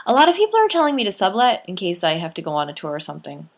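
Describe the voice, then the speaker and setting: A woman reading aloud puts on an optimistic young actress pal in a storybook, eager and bright. The voice is only nudged upward from the reader's own. It stays close to a natural, everyday speaking voice. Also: mid-range voice, huge pitch swings, racing. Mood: cheerful.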